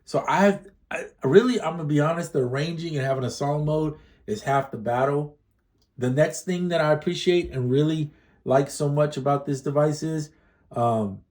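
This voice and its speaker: A man with a mellow, relaxed tone talks casually through a music gear demo. He rambles, corrects himself mid-sentence and leans on plenty of fillers. He sounds slightly congested. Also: medium pitch.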